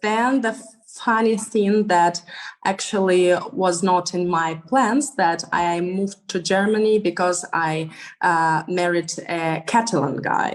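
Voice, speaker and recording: A woman's voice: on the low side, slow. A woman in her thirties from Belarus with a Belarusian accent and Russian as her first language, telling her story as an interview guest, full of fillers and laughs. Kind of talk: conversational interview.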